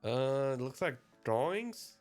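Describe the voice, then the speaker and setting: Man acting a polite, hesitant game hero, raised pitch. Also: sing-song, takes it slowly, darkish tone. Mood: puzzled, hesitant. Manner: hesitant, deferential. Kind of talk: visual novel dialogue read aloud. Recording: music behind the voice.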